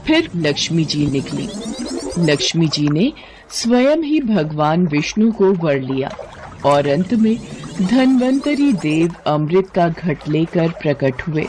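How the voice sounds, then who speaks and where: Casting: female storyteller for scripted bedtime myths, mellow tone, slow and animated. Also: on the low side, a little hiss or hum, low-quality recording. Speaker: storyteller, narrator.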